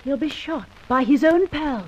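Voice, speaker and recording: A woman's voice: high-pitched, a little slow. An actress playing an earnest, inquisitive young lady in a vintage radio serial, speaking politely and questioningly. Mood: curious, puzzled. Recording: dull, faintly hissy old radio recording.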